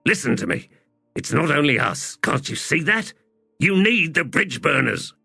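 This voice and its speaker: A male narrator performs a scrappy army sapper from a fantasy tale. He is stubborn and blunt, pressing his point with passion in a raised, forceful voice. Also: mid-range voice, very animated, measured pace, gravelly, husky, no room sound, voice pushed up, heavily acted. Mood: impassioned. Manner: blunt, insistent.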